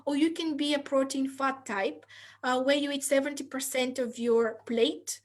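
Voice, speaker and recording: A woman's voice: sits high, steady tone. A woman from Bulgaria, a nutritionist guest patiently explaining answers on a video call and often restarting her sentences. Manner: measured, explains step by step with many restarts.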